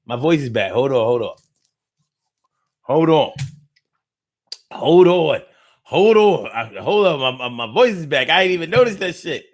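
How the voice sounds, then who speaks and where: A man's voice: a little high, sing-song, measured pace, long pauses. Laid-back slangy male podcast host. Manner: loose, rambling, playful riffing with slang and repetition. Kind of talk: casual late-night podcast sign-off.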